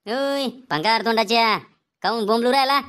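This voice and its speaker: A man's voice: extremely high pitch, moderate pace, over loud music. A man voicing a rude, bragging male quack doctor in a comedy cartoon, scolding in a Vidarbha Marathi accent. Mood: brusque, scolding. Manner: brusque, scolding, boastful.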